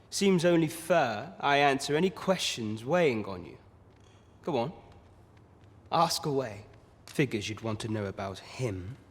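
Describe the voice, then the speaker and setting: An actor playing a wily, self-assured master of thieves in a video game, husky and speaking in sly, archaic turns of phrase. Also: male voice, medium pitch. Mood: wry, pleased, then guarded, confiding. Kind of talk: video game character dialogue. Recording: long reverberant hall echo.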